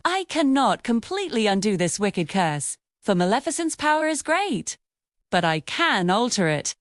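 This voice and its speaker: A kind, solemn good fairy from a storybook, put on by a woman narrator. She speaks formally and reassuringly in a high voice with wide, expressive swings. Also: moderate pace, no room sound, clean studio sound. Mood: solemn hope.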